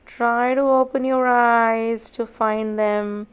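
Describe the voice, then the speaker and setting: A woman leading a guided meditation in a measured, hypnotic way, giving repetitive instructions with long pauses. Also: on the high side, fairly even intonation, clear, resonant tone.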